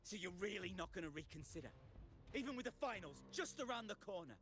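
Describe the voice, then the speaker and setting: A man's voice: high voice, big pitch swings, fast, a bit bright. A man voicing a bitter, compromised male gladiator in a video game, defensive and dramatic. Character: bitter compromised male gladiator, former partner turned rival. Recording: game audio with music underneath.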